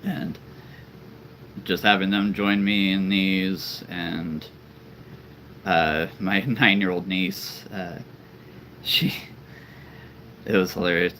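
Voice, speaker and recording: A man with a very deep voice, chatting casually and rambling on a live stream as a toy-collecting channel host. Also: steady tone, slow pace, long pauses, bright, forceful tone, slight background noise. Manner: chatty and rambling, laughs often.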